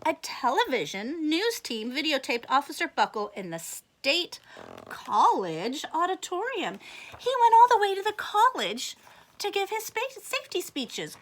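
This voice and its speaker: A woman reading a picture book aloud to children in a very expressive way, with asides and questions for young listeners. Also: very high-pitched.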